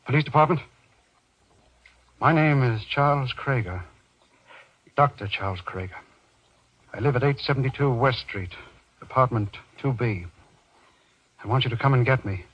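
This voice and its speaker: A strained, urgent doctor, acted by a man from an old radio thriller. The voice is husky and halting. The sound is dull and very dry. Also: medium pitch, no room sound, close to an everyday voice. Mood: agitated, urgent. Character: distraught male doctor confessing to a killing. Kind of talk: 1940s radio drama acting.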